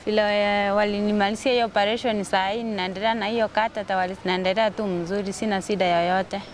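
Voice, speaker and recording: Quick-speaking woman patient. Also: medium pitch, steady tone, a little hiss or hum. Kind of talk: testimonial.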